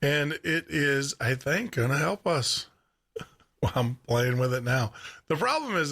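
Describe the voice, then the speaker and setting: Chatty male radio host, deep baritone with gravel, talking into a studio mic. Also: fairly bright tone. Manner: chatty, laughs often, repeats words. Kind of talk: casual live radio chat. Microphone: studio microphone with headphones.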